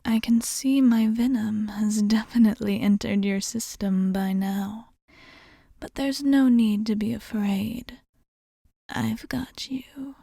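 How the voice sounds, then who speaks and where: Female actor as calm vampire bartender, ASMR roleplay: mellow, whispery, unhurried, reassuring and formal. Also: on the high side, no echo, studio quality, exaggerated voice. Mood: hushed, soothing. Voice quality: soft, breathy whispering. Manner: slow, soothing, formal and reassuring.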